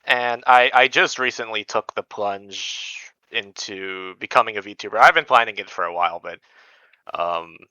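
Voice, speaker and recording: A young man from Indonesia chatting casually as an interview guest, hesitant and modest, with a clear, smooth voice. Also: fairly low pitch, moderate pace, bright, forceful tone, no echo, dull audio. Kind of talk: casual chat.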